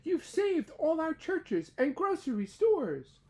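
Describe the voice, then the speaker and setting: A woman's voice: big pitch swings, slow pace, mellow, exaggerated voice. A woman voicing a tiny, grateful male mayor of a speck-sized town in a storybook reading. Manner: high piping, eager.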